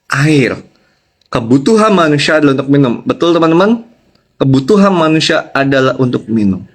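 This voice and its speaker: A man's voice: medium pitch, expressive, moderate pace. A man preaching a devotional to online listeners as a pastor, emphatically repeating key phrases and checking in with his audience. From Indonesia.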